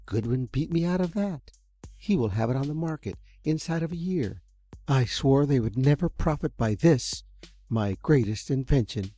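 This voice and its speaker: An eccentric old inventor, lanky and earnest, talks in a raised, strained voice, as a male storyteller puts him on. The tone stays soft and mellow under its urgency. Faint music plays behind the storybook reading. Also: mid-range voice, dry recording, voice pushed up.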